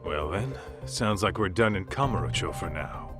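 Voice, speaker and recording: An actor playing a gruff, brash tough-guy sidekick in a video game, speaking casually and bluntly. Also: low-pitched, lively intonation, moderate pace, music in the background. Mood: practical. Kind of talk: naturalistic video game cutscene acting.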